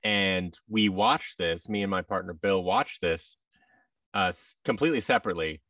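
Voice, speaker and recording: A man's voice: deep voice, normal speed, no room sound, studio quality, far from the mic. A man in his thirties chatting casually as an interview guest, animatedly acting out exchanges as he talks. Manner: animated, acts out quoted exchanges. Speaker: podcast guest, audio drama creator. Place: indoor room, video call. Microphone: boom-arm microphone with headset.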